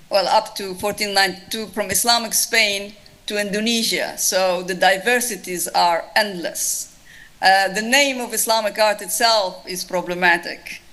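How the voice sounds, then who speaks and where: A woman's voice: mid-range voice, sharp tone, rough recording. A woman with a Tunisian accent, a lecturer answering an audience question thoughtfully, with frequent restarts. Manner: thoughtful, restarts often, many fillers. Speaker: lecturer on Islamic art.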